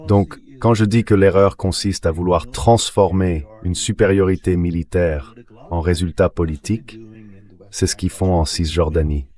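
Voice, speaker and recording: Very deep-voiced male political analyst. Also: steady tone, moderate pace, darkish tone. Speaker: interview guest, political analyst. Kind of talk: political analysis, dubbed translation read by synthetic voice.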